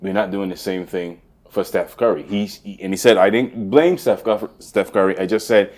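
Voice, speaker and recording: A man's voice: low voice, normal speed. Laid-back man in his forties. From West Indies. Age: 40s. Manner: animated, laughs often, restarts sentences. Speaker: podcast co-host. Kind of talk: casual chat.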